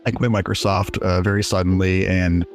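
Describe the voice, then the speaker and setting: Low-voiced man in his forties. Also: level tone, normal speed, faint music behind. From USA. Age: 40s. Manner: rambling, self-deprecating, laughs often. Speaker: indie game developer guest. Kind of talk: casual interview.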